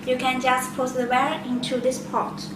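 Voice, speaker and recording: Female product presenter, slightly high pitch, simple non-native phrasing, recorded in a very echoey room. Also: flat delivery, normal speed. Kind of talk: product demonstration, step-by-step explanation. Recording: echoey room, distant mic, loud noise.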